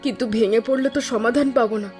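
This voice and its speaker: A woman voicing a quick, earnest young wife. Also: a little high, a little mellow, soft background music, natural voice. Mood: consoling. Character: hardworking young wife running a small eatery. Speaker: cook, hotel owner. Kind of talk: animated cartoon voice acting.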